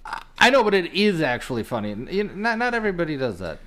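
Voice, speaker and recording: A man's voice: fairly high pitch, big pitch swings, fast talker, fairly bright tone. A man talking casually as a co-host of a podcast.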